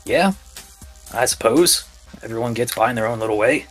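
A man's voice: mid-range voice, moderate pace, faint music behind, lightly acted. A man playing an easygoing, scrappy junk scavenger in a tabletop game, talking in a casual, folksy way. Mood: easygoing, chatty. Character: easygoing scrappy junk-scavenging male local with robot dog.

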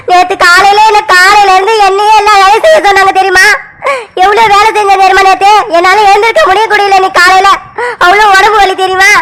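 A woman's voice: very high voice, pushed and bright, a little hiss or hum. A woman playing an aggrieved young wife who pleads and reproaches her husband in a family skit.

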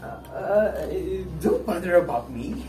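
A man's voice: fairly high pitch, animated, slow pace. A young man acting an uneasy, guarded, fearful man in a stage play, with tense, terse replies. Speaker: stage actor. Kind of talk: theatrical one-act play dialogue. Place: indoor stage set with living-room furniture. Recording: roomy stage, distant mic, music underneath.

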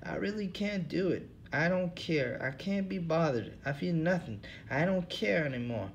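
A man's voice: animated, normal speed, lo-fi sound, slight background noise. A man voicing a weary, self-pitying male drifter with genteel airs. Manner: listless, self-pitying, raised pitch.

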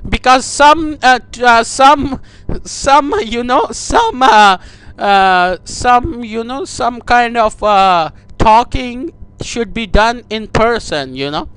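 Guy doing a prank call, super high voice, slow and halting, kinda coy and gushy. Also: a bit bright, clear, resonant tone, rough recording. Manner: halting, coy, playfully over-affectionate. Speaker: prank caller. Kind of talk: comedic prank phone call.

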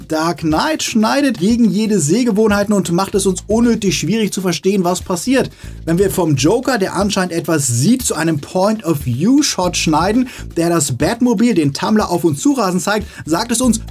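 A man in his forties giving scripted film commentary as a host, explaining in an animated, exasperated way. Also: sits high. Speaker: video essay host.

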